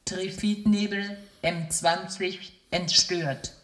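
An actress playing an impassive female computer announcer in a science-fiction radio play, measured and impersonal. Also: lowish voice, steady tone, a bit bright. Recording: roomy echo on the voice.

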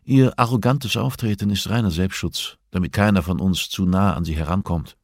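A man voicing an arrogant, cutting male prison inmate in an audiobook, speaking with deliberate condescension. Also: sits low, darkish tone, dry recording, clean studio sound. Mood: condescending. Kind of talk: audiobook character dialogue.

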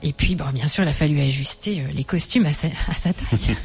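A woman musician being interviewed on radio, talking thoughtfully with many pauses and fillers. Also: sits low, expressive, a little mellow, husky. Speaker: musician guest.

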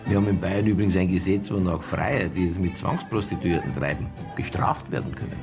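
An actor playing a dogged, blunt police detective in a radio drama, dry and probing. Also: male voice, sits low, brisk, over music. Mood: threatening, dry. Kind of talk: naturalistic radio drama acting.

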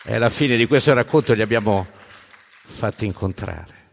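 Low-voiced male storyteller in a reverberant hall. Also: moderate pace, vocal fry, slight background noise. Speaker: narrator. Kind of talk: live theatrical storytelling.

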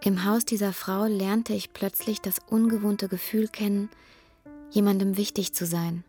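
A woman's voice: medium pitch, steady tone, moderate pace, mellow, close to an everyday voice. Restrained woman narrator played by actress over music. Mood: reflective. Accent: standard German. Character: grown foster daughter recalling her childhood, bitter, reflective. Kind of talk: dramatic first-person narration.

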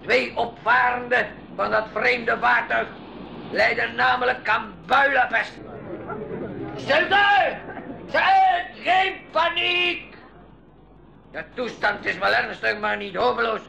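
A brash pirate captain in a school radio play. His voice is very high and gravelly, and he barks orders with commanding bluster over some background noise. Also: slow, sharp tone, a faint crowd, exaggerated voice. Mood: shouting orders. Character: bossy, bellowing male pirate captain, gullible and brash. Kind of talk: 1980s school radio drama acting. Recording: noisy 1980s radio drama recording.

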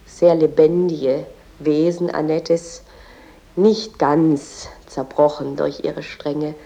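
A female tour guide in a radio drama. Her voice is low and soft-edged, dark rather than bright. She explains earnestly and reverently, hesitating and correcting herself as she goes. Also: creaky, full character voice. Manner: explanatory, halting with small slips and self-corrections. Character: female museum guide, earnest and reverent about a poet's family.

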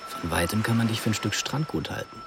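A casual young suitor in a radio play. His voice is on the low side with a gravelly creak. Loud music runs behind it. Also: fast. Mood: teasing.

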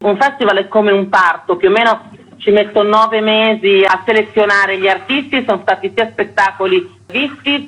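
Woman with a mid-pitched voice, interviewed. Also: fairly bright tone, slightly rough, rough recording. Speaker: festival artistic director, guest. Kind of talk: interview answers, listing a programme.

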